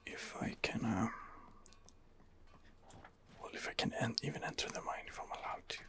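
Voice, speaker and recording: A man softly narrating an ASMR game playthrough in character, hesitant and musing to himself in a hushed voice. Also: moderate pace. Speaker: host of a roleplay gameplay series.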